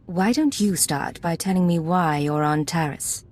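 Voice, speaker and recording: A suspicious imperial agent in a video game. Her voice sits on the low side, and she speaks in a curt, controlled, commanding manner with a hard edge. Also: measured pace, darkish tone. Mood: wary, cool.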